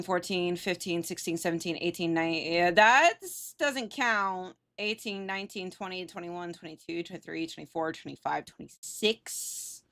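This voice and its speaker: A woman's voice: mid-range voice, fairly bright tone. A woman livestreamer chatting casually with viewers in a slangy, sweary, self-teasing and exclaiming way. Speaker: live streamer.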